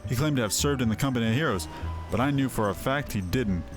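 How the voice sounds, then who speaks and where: A man's voice: medium pitch, lively intonation, fast talker, lightly acted. A man voicing a stern, sceptical veteran mercenary captain in a fantasy game, speaking in a blunt, formal, archaic way. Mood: brisk. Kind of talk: voiced fantasy game dialogue. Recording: game music behind voice. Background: game music.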